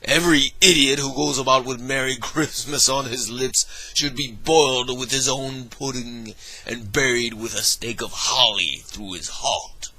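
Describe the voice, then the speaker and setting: A man's voice: medium pitch, takes it slowly, sharp tone, breathy edge, exaggerated voice, rough recording. A man voicing a grumpy, miserly old man who snaps out short, scornful outbursts.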